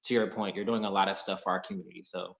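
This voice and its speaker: Polite guy on a video call, lowish voice, kinda bright, room echoey and audio dull. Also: steady tone, very fast, vocal fry. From Pittsburgh. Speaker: caller on a dating show. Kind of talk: casual video-call chat.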